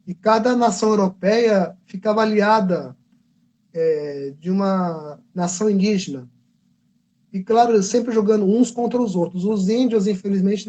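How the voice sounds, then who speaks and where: Husky, mellow Brazilian man. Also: high voice. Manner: rambling, self-correcting storyteller. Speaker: comic book artist. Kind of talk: casual livestream talk. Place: convention livestream.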